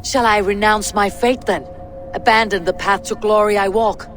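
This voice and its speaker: A woman playing a stern, level-headed Viking warrior in a video game, speaking in a grave, measured, commanding way. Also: medium pitch, steady tone, fairly bright tone, a little hiss or hum, lightly acted. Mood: defiant. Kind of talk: dramatic video game acting.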